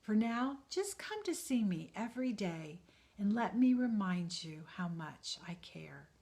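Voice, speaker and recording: A woman voicing a kind, loving bearded woodcarver, speaking softly and tenderly, often near a whisper. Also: medium pitch, very animated. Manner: soft, slow, tender, often hushed.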